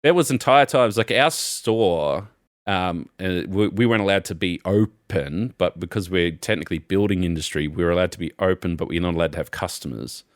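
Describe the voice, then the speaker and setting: A man's voice: low voice, a little fast, dry recording, clean studio sound. A young man co-hosting a casual podcast, telling rambling stories with lots of laughter. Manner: rambling, animated storyteller, frequent laughs. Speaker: podcast co-host. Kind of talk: casual chat.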